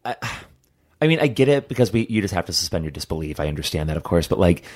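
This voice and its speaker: A man's voice: low voice, very animated, fast. A man co-hosting a podcast, chatting casually about a movie, rambling with lots of fillers and the odd swear word. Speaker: podcast co-host.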